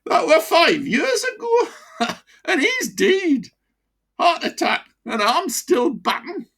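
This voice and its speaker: A woman's voice: very high-pitched, big pitch swings, slow pace, fairly bright tone, voice pushed up, heavily acted. A woman voicing a breathless old male ex-miner from Sunderland with a broad local accent and blunt gallows humour. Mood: grim joking. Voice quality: breathless, broad dialect, cackling. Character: old wheezing Sunderland ex-miner man, grimly jokey.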